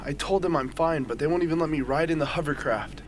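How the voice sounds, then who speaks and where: Radio play charmer, male actor: vain young warrior, playful, clear background noise. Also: fairly high pitch, fast talker, natural voice. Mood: frustrated. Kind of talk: amateur radio drama acting. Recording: noisy hobby recording, slightly distant.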